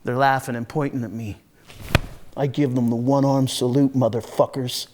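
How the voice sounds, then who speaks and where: A man playing a volatile, hard-drinking, foul-mouthed Marine from a rough neighborhood, telling his story in a raw, halting dramatic monologue. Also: mid-range voice, level tone, background noise, close to an everyday voice. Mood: tense, brooding. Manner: raw, profane, halting then bursting into anger. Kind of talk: first-person dramatic monologue, conversational storytelling.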